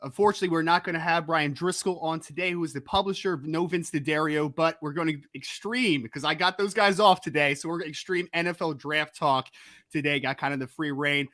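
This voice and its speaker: A man hosting a live-streamed sports podcast, talking in an energetic, chatty way with quick restarts. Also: on the high side, dry recording, studio quality. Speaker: podcast host.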